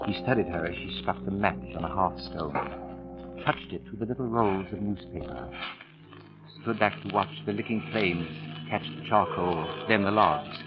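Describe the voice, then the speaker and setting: A man narrating 1940s radio suspense in a dramatic scripted style, with deliberate pauses and ominous build-up. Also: low voice, close to an everyday voice. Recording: dull vintage radio recording with music.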